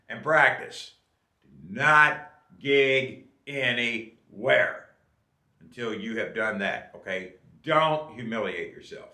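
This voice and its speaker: A man's voice: fairly high pitch, pushed and bright. Folksy fifties man, echoey room. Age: 50s. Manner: folksy, repeats "okay?" to the viewer. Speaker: drummer and channel host. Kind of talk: casual advice vlog.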